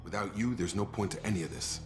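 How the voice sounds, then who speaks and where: A male actor plays an earnest soldier from a video game, conscientious and plain-spoken. His voice sits low and his delivery is informal but steady. Music plays clearly behind him. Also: fast, close to an everyday voice. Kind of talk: video game cutscene acting.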